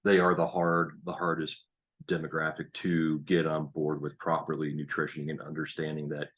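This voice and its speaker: A man's voice: very deep voice, monotone, normal speed, breathy edge, highs cut off. A man in his late thirties from Kansas hosting a podcast, talking in a laid-back, rambling way with lots of fillers. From Kansas, USA. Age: 30s. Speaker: podcast host. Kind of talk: casual podcast interview.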